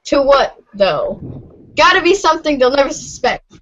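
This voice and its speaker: A woman's voice: high voice, big pitch swings, normal speed, fairly bright tone. A woman reading scripted narration aloud as part of an amateur readers' theatre. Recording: dull, lo-fi audio.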